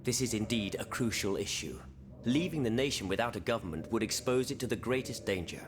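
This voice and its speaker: A man's voice: on the low side, expressive, fairly quick, close to an everyday voice. An actor playing a cautious statesman in a historical video game, formal and grave. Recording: music behind the voice.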